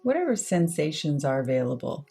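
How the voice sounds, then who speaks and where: A woman with a low, slightly husky voice guides a meditation. Her tone is mellow and relaxed, and she delivers short, measured phrases with unhurried pauses between them. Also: faint music behind. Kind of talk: guided meditation, slow soothing instruction.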